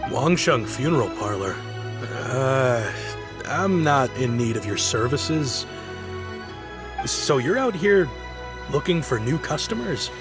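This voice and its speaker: A man's voice: medium pitch, big pitch swings, takes it slowly, pauses often. A curt, impatient townsman. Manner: curt, brusque. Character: busy, impatient adult male townsman. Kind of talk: video game cutscene dialogue. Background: game music.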